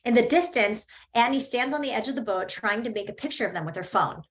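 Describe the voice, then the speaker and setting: A woman reading a screenplay's stage directions aloud at a table read, steady and matter-of-fact. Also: fairly high pitch, fast talker.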